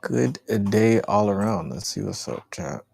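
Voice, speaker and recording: A man's voice: lowish voice, lively intonation, measured pace, gravelly, a little mellow, slightly rough, no room sound. A man hosting a livestream, giving casual, slangy, repetitive commentary and talking to his chat. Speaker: livestream host.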